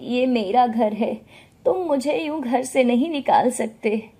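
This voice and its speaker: Casting: gentle elderly teacher and mother, mellow and pleading, for a cartoon bedtime tale woman performer. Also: fairly high pitch, slow pace, husky, heavily acted. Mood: wronged. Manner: pleading, meek. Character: gentle, wronged elderly mother, retired schoolteacher.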